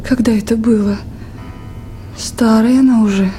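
A woman's voice: on the high side, fairly even intonation, moderate pace, dark tone, soft background music, lightly acted. An actress playing an anxious, emotional young woman at a remote river station in a Soviet radio play. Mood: wistful. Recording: noisy 1980s radio drama recording.

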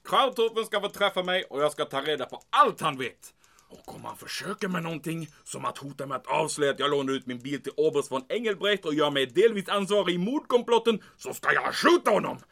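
An actor playing a bombastic, paranoid German military commandant in an audio drama, excitable, barking orders and peppering his speech with German. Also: fairly high pitch, creaky, heavily acted. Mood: scheming, anxious.

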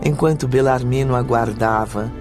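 A Brazilian man narrating a scripted radio drama in a measured, formal manner. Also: mid-range voice, over music.

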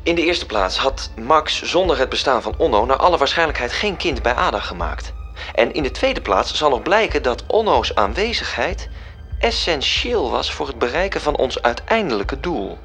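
A man playing a composed, authoritative heavenly spirit who explains a divine plan in measured, formal words in a radio drama. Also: medium pitch, very animated, fairly bright tone. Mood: assured explaining. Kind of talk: radio drama narration and dialogue. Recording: music behind the voice.

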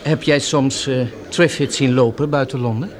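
A man's voice: medium pitch, steady tone, normal speed, close to an everyday voice. An actor playing a polite, inquisitive male reporter and official chronicler in a Dutch radio drama. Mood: sociable. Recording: busy crowd murmur behind.

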